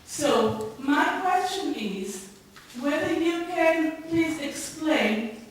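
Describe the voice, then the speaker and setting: A woman's voice: sits high, expressive, extremely slow. A woman putting questions at a public meeting in an insistent, confrontational way, recorded in a large echoey room. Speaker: social worker asking a public question at a council meeting. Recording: echoey hall from far off, faint noise.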